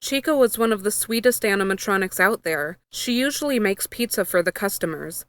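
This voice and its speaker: A woman's voice: mid-range voice, animated, brisk. A woman narrating a first-person story, reading from a script as she goes. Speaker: fan fiction reader.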